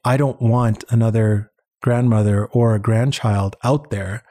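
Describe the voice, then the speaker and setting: Earnest man with a low, mellow voice. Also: steady tone, a little slow. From born in Uganda, later lived in Canada. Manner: earnest, reflective, repeats words for emphasis. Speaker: interview guest, head of an international eye-care charity. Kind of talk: conversational personal storytelling.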